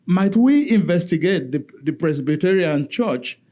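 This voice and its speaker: A man talks on a conference panel, stopping and restarting his sentences with many fillers. His voice sits a little high, yet it sounds soft and mellow. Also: lively intonation, measured pace. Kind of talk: academic panel talk. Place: conference hall.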